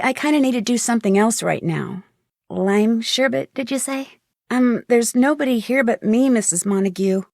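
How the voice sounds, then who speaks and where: Polite, inquisitive young female sleuth for a mystery game: higher voice, clear diction, close dry studio sound. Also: no room sound. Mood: polite, curious. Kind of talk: scripted video game dialogue.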